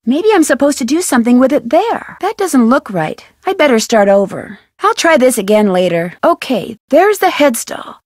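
Young female detective for adventure game: American, eager, wholesome, very lively intonation, dry close sound. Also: sits high, moderate pace, few pauses, no echo. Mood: musing. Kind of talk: scripted video game voice acting, thinking aloud.

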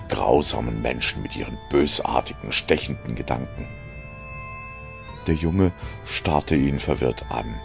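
A man narrating a dark audio story from a script, in a grave, deliberate manner. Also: extremely low pitch, vocal fry.

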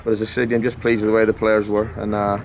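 A man's voice: fairly low pitch, completely flat delivery, quick pace. A man in his forties, a football manager giving a blunt, passionate post-match interview outdoors at a ground at night. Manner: emphatic, repetitive, blunt and passionate. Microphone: handheld mic held close. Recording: faint outdoor noise.

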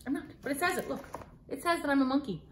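Animated high-voiced woman reading to children. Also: fast, slight background noise. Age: middle-aged. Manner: theatrical, playful, mock-exasperated asides. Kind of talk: animated read-aloud of a picture book for children. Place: living room at home, indoors. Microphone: phone camera a few feet away. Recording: roomy home phone-video sound.

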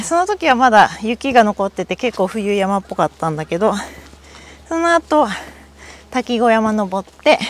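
A middle-aged Japanese woman chatting to herself as she hikes a mountain trail outdoors, recorded on a body-worn camera. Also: fairly high pitch. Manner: chatty, talks to herself while walking uphill. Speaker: hiking vlogger. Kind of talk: casual solo hiking vlog talk.

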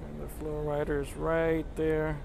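A man with a fairly high voice narrates his drone flight in a chatty, marveling way. He talks outdoors, with clear street and traffic noise running behind him. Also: completely flat delivery, unhurried. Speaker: drone videographer. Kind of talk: casual live narration while flying a drone.

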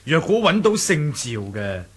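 An exasperated martial-sect comrade in an old Cantonese radio drama. He chides in a high, husky Hong Kong voice with lively, drawn-out exclamations. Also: exaggerated voice.

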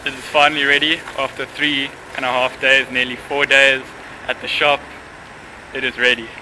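A young man talking casually to a handheld camera, first in a car, then outdoors in a parking lot, relaxed and chatty, laughing easily. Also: medium pitch, moderate pace, noisy background. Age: young adult. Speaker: vlogger. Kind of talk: casual vlog narration. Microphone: handheld camera at arm's length.

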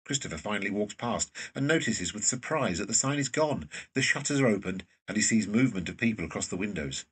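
A man narrates scripted scene directions in a fairly low, husky voice. He sounds a little way off from the microphone. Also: expressive, fast, hardly any pauses, a bit bright, distant mic, low-quality recording.

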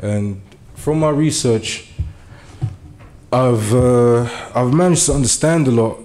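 A man's voice: mid-range voice, slow pace, darkish tone. A young man from Germany giving an earnest public speech at a meeting, hesitant and full of fillers at first, then building to urgent appeals. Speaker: community speaker. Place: meeting room.

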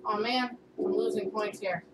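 Excitable woman gaming with a friend. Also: mid-range voice, moderate pace, reverberant, far from the mic, heavy background noise. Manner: laughs often, flustered and excitable. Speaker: gaming video host. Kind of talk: casual gameplay chat.

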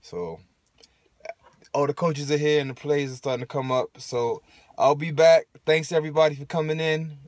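A man in his thirties hosting a casual phone livestream from inside a parked car, relaxed and chatty, laughing often and using lots of fillers. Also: on the high side, normal speed, dry recording. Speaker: livestream host. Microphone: phone held at arm's length.

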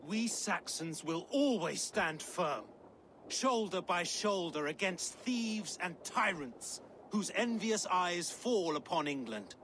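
High-voiced, defiant Saxon soldier. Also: male voice, lively intonation, slow, a bit bright, a little hiss or hum, heavily acted. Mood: proud defiance. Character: proud, defiant Saxon soldier, loyal to his king. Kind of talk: dramatic video game acting.

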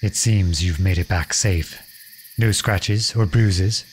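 A man playing an earnest, protective young outlawed prince in an audio roleplay, with a gentle, unhurried, folksy manner. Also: low voice, slight background noise. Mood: relieved, reflective. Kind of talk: ASMR roleplay acting.

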